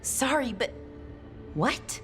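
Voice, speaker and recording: A woman's voice: high voice, big pitch swings, slow, soft background music, heavily acted. A woman playing a curious, thoughtful elven scholar in a video game, informal and inquisitive. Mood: surprised curiosity. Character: curious, thoughtful female Dalish elf scholar. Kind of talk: video game dialogue acting.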